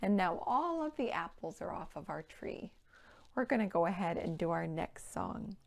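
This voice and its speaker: A woman in her thirties presenting a storytime for young children, with simple, clearly phrased rhymes and counting. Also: on the low side, big pitch swings, normal speed. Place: indoor room with playhouse backdrop.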